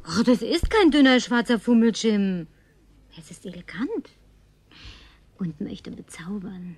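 An actress playing a tipsy, flirtatious, lonely woman survivor in a radio drama, coquettish and slipping into breathy whispers. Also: mid-range voice, animated, unhurried, a little mellow, slight background noise. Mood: flirtatious, coaxing. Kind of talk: German radio drama acting.

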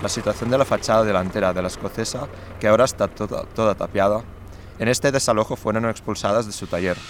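A man's voice: fairly low pitch, fast talker, a little hiss or hum. A man giving conversational testimony, with urban ambience behind him.

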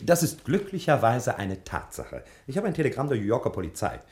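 An actor playing a brisk, exasperated detective in a 1960 German radio crime drama, speaking in an emphatic, clipped way. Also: male voice, medium pitch, huge pitch swings, darkish tone. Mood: formal, triumphant.

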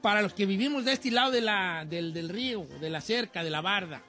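A man's voice: sits high, animated, brisk, pushed and bright. A man with a Mexican accent hosting a comic radio show in a folksy, teasing, exaggerated way. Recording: music playing behind the talk. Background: background music.

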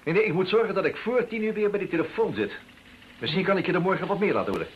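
An actor playing a brisk, charming private detective in an old radio play. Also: male voice, sits high, animated, a little hiss or hum. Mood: warm, hurried. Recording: dull, muffled old radio recording.

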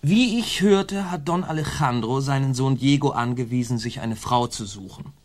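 A man's voice: medium pitch, moderate pace, close to an everyday voice. An actor playing a scheming officer in a German radio drama, reporting to his superior in a deferential yet sly, insinuating way. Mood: sly, gossipy.

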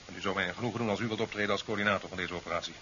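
A man's voice: deep voice, fairly even intonation, racing, bright, forceful tone. A man playing a sceptical, career-minded president in a 1970s science fiction radio play, formal and dry. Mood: businesslike, official. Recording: dull 1970s radio recording with hiss.

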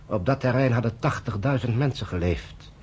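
An actor in a radio play, playing a troubled, conscientious British general, grave and measured, with a husky voice. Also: male voice, mid-range voice, darkish tone, a little hiss or hum, close to an everyday voice. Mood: grave testimony. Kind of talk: Dutch radio play acting.

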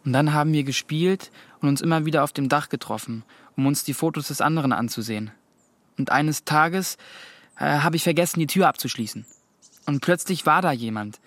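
A man's voice: a little high, brisk, fairly bright tone, dry recording. A young male actor playing a teasing, evasive teenage boy in a radio drama, casual and youthful in his talk. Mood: evasive, uneasy.

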